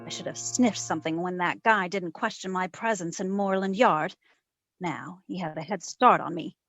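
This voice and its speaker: A woman playing a sassy, quick-witted private detective, narrating her case in old-time radio drama style. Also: on the low side, a bit bright, faint music behind. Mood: brisk, wry. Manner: wry, wisecracking.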